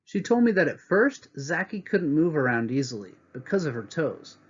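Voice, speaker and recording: A man's voice: fairly high pitch, very animated, moderate pace, breathy edge, lo-fi sound. A man teaching a read-aloud lesson to children, reading a picture book and adding teacherly asides from home.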